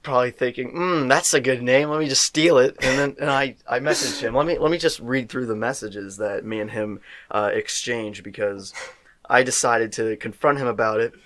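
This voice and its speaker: A man's voice: medium pitch, moderate pace, a bit bright. A teenage man hosting a casual podcast, rambling with lots of fillers and restarts. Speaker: podcast co-host.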